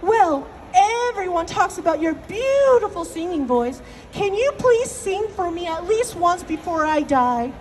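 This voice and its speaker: Small male storybook rabbit, played by a woman: very high, whiny, wheedling, meek. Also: very animated, unhurried, hardly any pauses, a little mellow, slight background noise, exaggerated voice, poor audio. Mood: coaxing. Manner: pleading, complaining.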